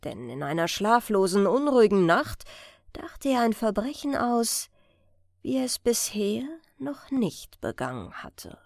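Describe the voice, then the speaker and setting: A woman's voice: medium pitch, sing-song, measured pace, gravelly, no room sound, studio quality. A woman narrating a crime story as an audiobook reader, in a scripted reading style.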